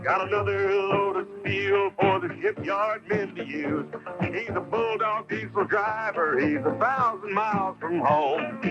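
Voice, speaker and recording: A man's voice: high-pitched, unhurried. An American man singing a country-style advertising jingle. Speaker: jingle singer. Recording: dull lo-fi 1980s radio broadcast. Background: loud music.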